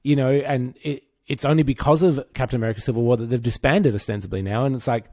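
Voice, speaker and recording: A man's voice: medium pitch, fast talker, warm tone, no echo. A man co-hosting a podcast, chatting casually about pop culture with dry humour and informal, sweary phrasing. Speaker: podcast co-host.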